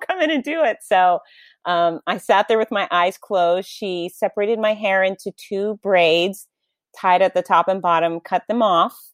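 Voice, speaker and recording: A middle-aged woman and race announcer, candidly telling a personal story in an interview with lively, self-deprecating emphasis. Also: lowish voice, no room sound, studio quality.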